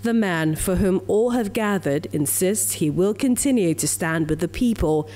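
A woman's voice: fairly low pitch, lively intonation, normal speed, warm tone, faint music behind. A woman with a Nigerian accent reading a scripted news report as a reporter's voice-over.

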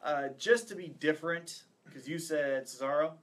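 A man in his thirties with a bright, forceful voice pitched a bit high. He talks casually as a podcast co-host, running through picks and reasoning in a conversational way. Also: moderate pace. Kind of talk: casual wrestling predictions chat. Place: small indoor studio with acoustic foam panels.

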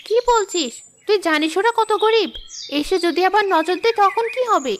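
A woman's voice: very high voice, expressive, moderate pace, slight background noise. A woman voicing a young village girl in a cartoon, childlike, outspoken and insistent. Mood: boastful, haughty. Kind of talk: cartoon voice acting.

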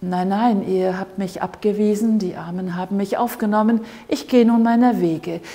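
A woman voicing a humble, solemn, benevolent wandering Lord in a fairy tale, in measured, plain words. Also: mid-range voice, fairly even intonation, warm tone, lightly acted. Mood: firm. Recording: echoey hall with long reverb.

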